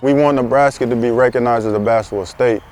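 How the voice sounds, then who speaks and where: Earnest young man, middle pitch. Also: steady tone, fast talker, darkish tone, soft background music. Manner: earnest, repeats words for emphasis. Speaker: basketball program founder. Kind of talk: documentary interview.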